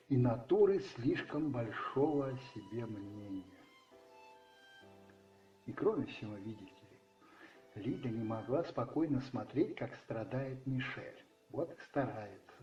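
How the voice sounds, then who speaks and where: A man narrating a radio play as a storyteller, expressive and reflective, with pauses and musing asides. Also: medium pitch. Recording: slightly muffled recording with music underneath.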